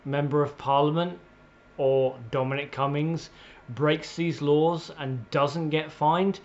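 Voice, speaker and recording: A man delivering an opinionated political rant in a blunt, sweary, exasperated way, with emphatic pauses and repetition, on slightly muffled audio. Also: fairly high pitch. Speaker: political commentator.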